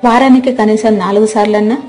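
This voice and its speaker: A woman's voice: medium pitch, fairly even intonation, quick pace, darkish tone. A woman narrating a scripted moral story as storyteller, with music behind her.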